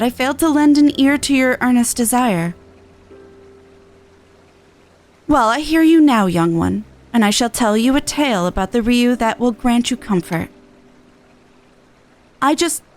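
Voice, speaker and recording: A woman voicing an earnest, kindly festival envoy from a fantasy game, with formal, archaic, measured phrasing. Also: fairly high pitch, natural voice. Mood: earnest, apologetic. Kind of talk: reading game dialogue aloud.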